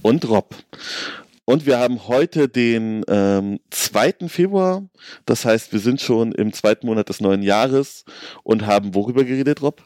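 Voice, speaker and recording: A man co-hosting a podcast in relaxed, hesitant casual chat, with a slight cold and a bit hungover. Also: mid-range voice, unhurried, no room sound, clean studio sound. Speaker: podcast co-host.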